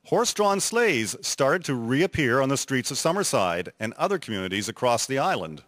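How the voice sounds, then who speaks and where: A man's voice: medium pitch, sing-song, fairly bright tone, slight background noise. Man narrating a radio drama. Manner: measured storytelling. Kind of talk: scripted narration.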